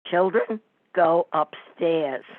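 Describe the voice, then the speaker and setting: Sarcastic mob wife for radio comedy, woman acting: slow, needling delivery, narrow phone-like sound. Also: lowish voice, dry recording. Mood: scornful. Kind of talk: amateur old-time radio comedy play. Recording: thin phone-like sound, dry.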